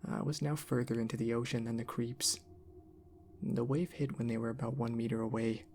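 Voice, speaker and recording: A man reading a scripted horror story in an ASMR style, with measured, deliberate delivery. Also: mid-range voice, gravelly, a little mellow, slight background noise.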